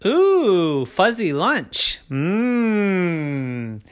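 A man's voice: high voice, sing-song, extremely slow, voice pushed up, full character voice. Guy doing a hungry show-off shark for kids, gloaty, draws words out, lots of swoop. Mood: gloating.

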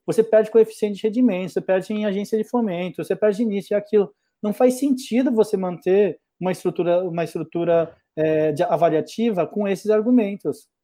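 A man's voice: high voice, fairly quick, hardly any pauses, warm tone. A middle-aged man from Brazil, a mathematics professor, talking reflectively in a casual conversation with many restarts.

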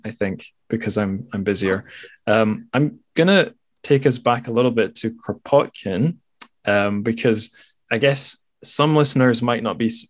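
A man's voice: on the low side, no room sound. A man in his twenties hosting a podcast, explaining things casually in an informal, wry and self-deprecating way. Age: 20s. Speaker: podcast host.

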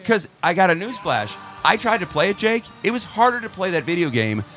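A man co-hosting a talk show, bantering and telling jokes in a loose, animated way. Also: high voice, a little fast, soft background music. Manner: joking, animated storyteller. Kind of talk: casual banter.

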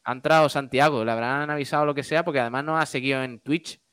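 A man hosts a relaxed live radio show. His voice is clear and smooth, recorded dry and close. He reads listener comments aloud and banters colloquially. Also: medium pitch, fast, no echo. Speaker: radio show co-host reading listener messages. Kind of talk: casual live chat, reading out comments.

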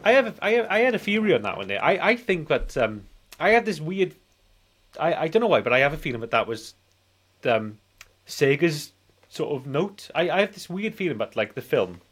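A man's voice: on the high side, very animated, fairly quick, a bit bright, breathy edge. A man in his forties chatting casually as a film podcast co-host, rambling and often repeating himself. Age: 40s. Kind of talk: casual film review chat.

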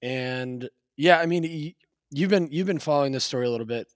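A man co-hosting a podcast in casual chat, with a slightly raspy voice, laughing often and restarting his sentences. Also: medium pitch, fairly quick, dry recording, studio quality. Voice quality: a little raspy. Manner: loose, laughing storyteller with many restarts. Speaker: podcast co-host.

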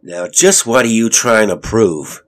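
A man's voice: sits low, expressive, measured pace, a little mellow, full character voice. A man voicing an angry, dismissive husband in an audiobook story, blunt and scolding as he defends his son.

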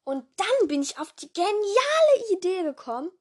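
A young woman with a hoarse voice hosting a casual podcast in a rambling way, full of fillers and self-corrections, jumping between voices. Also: extremely high pitch, big pitch swings, normal speed. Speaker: podcast host.

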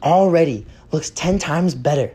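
A hoarse young man, hosting a casual sports podcast and ranting bluntly with lots of swearing while losing his voice. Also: fairly high pitch, measured pace, dark tone. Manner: profane, blunt, fired up.

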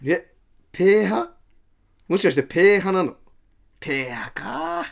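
A man's voice: high-pitched, animated, a little slow. A man acting as an overfamiliar young comedian in a radio drama, chatty and pushy, with a western Japanese Hiroshima-style dialect. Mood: exasperated, pleading. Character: brash, overfamiliar young male amateur comedian.

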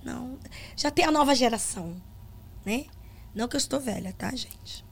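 A woman's voice: on the high side, moderate pace, creaky, a little hiss or hum. A middle-aged woman with a Brazilian accent, a gospel singer telling stories in a casual interview and acting out the dialogue. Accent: Brazilian Portuguese. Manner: animated storyteller, acts out quoted dialogue.

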